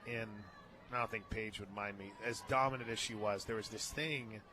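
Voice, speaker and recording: An American man doing live radio play-by-play of softball, chatting and joking between pitch calls from a ballpark booth. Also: fairly even intonation, normal speed, background noise. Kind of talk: live softball commentary with casual banter.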